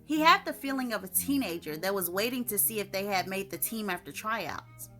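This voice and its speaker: A woman reading her own short story aloud as an expressive storyteller. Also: mid-range voice, brisk, soft background music.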